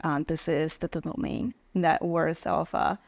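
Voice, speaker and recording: A woman working as a tech leader, a podcast guest, speaking haltingly with many fillers. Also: deep voice, steady tone, gravelly, dry recording. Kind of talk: casual interview chat.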